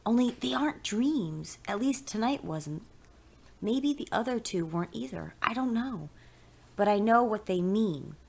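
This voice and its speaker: A woman's voice: medium pitch, animated, unhurried, creaky, voice pushed up. Devout wife, played by a female reader: enthusiastic, insistent, determined, audio fiction. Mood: excited. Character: Karen, fervently devout wife, excited and determined.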